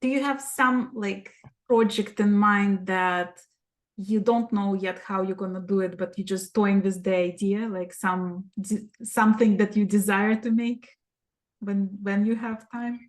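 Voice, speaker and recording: A woman's voice: mid-range voice, fairly even intonation, a little slow. A woman hosting an interview, a non-native English speaker, asking curious, friendly questions. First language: not English. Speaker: host. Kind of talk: casual interview.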